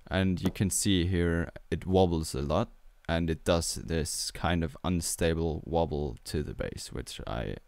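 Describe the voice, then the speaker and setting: Low, slow-talking Austrian man. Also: vocal fry, slight background noise. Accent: Austrian German. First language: German. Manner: relaxed, hesitant with many fillers. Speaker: music producer. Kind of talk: tutorial walkthrough. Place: small home studio, indoors. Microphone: studio mic on boom arm with headphones.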